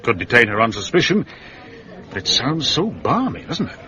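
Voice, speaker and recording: An actor playing a dutiful, matter-of-fact British police inspector in a radio drama, speaking in an informal, confiding way. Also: male voice, mid-range voice, sing-song, moderate pace, pushed and bright. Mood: wry, matter-of-fact. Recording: muffled, dull radio drama recording.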